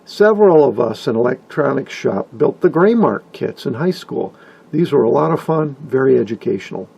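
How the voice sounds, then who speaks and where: Older male hobbyist narrator, gravelly and dark-toned, wry and folksy, reading informal video voice-over. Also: medium pitch, expressive, normal speed. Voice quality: husky, gravelly. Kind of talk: informal scripted narration about vintage radios.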